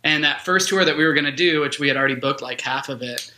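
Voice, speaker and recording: A man's voice: fairly high pitch, quick pace, pushed and bright. A man of twenty-eight, telling stories as an interview guest in a loose, colloquial way. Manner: colloquial, informal, frequent "like" and "dude". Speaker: guest, band vocalist. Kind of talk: casual interview storytelling.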